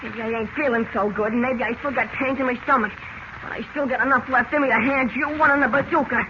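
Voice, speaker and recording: An actor playing a defiant fourteen-year-old stowaway in an old radio drama, tough-talking, slangy and given to whining. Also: male voice, extremely high pitch, steady tone, fast talker. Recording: very noisy muffled vintage radio broadcast.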